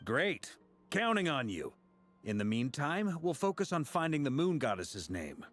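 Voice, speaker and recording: A man's voice: on the high side, very animated, unhurried, faint music behind. A man playing a confident, easygoing, amused leader in a video game. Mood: businesslike.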